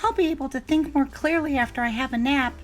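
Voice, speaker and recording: A woman's voice: high-pitched, quick pace, voice pushed up, natural voice. A woman voicing a timid, loyal male shadow in a children's storybook. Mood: earnest.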